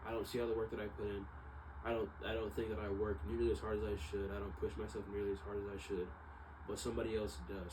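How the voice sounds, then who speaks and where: A man talking casually and unscripted, in a rambling, self-doubting way that circles back and repeats. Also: fairly low pitch. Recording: clearly noisy ambient background.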